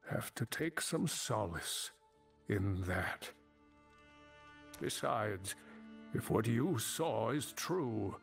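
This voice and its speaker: An older actor playing a grave, weary old scholar and mentor in a video game, speaking in a solemn, halting way. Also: male voice, medium pitch, vocal fry. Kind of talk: dramatic video game dialogue.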